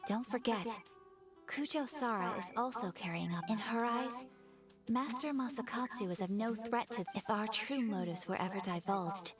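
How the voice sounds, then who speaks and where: A woman voicing a composed young noble lady in a video game, formal, measured and politely earnest. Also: on the high side, close to an everyday voice. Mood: serious, composed. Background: game music.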